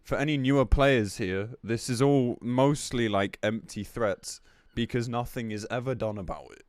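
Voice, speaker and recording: A man's voice: mid-range voice, moderate pace, darkish tone, no echo, studio quality. A man live-streaming in a casual, blunt, exasperated way, commenting between bits of reading aloud and swearing freely.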